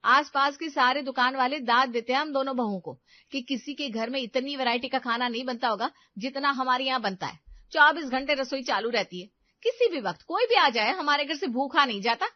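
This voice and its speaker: A woman voicing a practical, talkative young-wife character in a household story, with an animated, protesting manner. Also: sits high, a little fast, no echo, lo-fi sound, close to an everyday voice. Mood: indignant. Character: practical, talkative elder daughter-in-law in a household.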